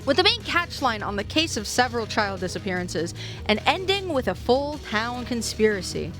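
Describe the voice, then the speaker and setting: A woman's voice: fairly high pitch, very animated, normal speed. A woman presenting a scripted video essay with casual asides and dramatic emphasis on key phrases. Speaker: video essayist reviewing fiction podcasts. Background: background music.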